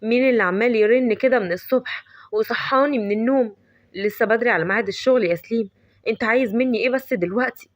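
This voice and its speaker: A grumpy young man just woken up, with an Egyptian Arabic accent , as a woman voices him. He grumbles quickly. The sound is dry. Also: a little high, no echo, lightly acted.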